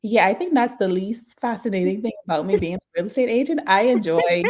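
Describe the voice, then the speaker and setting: A woman, a podcast guest telling her life story in lively casual chat and laughing often. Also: medium pitch, brisk. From Bahamas.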